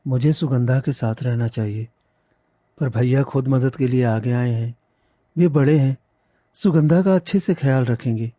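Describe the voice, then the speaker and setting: Man playing earnest young husband, very mellow voice. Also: mid-range voice, moderate pace, dry recording, close to an everyday voice. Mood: reluctant, trusting. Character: devoted, trusting, worried young village husband. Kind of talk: cartoon moral story acting.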